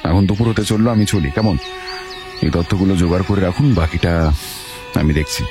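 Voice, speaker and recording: An actor playing a brisk, confident, commanding detective in an audio detective story, questioning and instructing in clipped phrases. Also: male voice, low voice, over music, close to an everyday voice.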